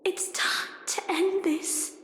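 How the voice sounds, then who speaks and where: A woman's voice: takes it slowly, a bit bright, in a whisper. A woman acting a defeated, proud singer who is sorrowful and resigned, in dramatic game dialogue. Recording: echoey reverberant hall with music.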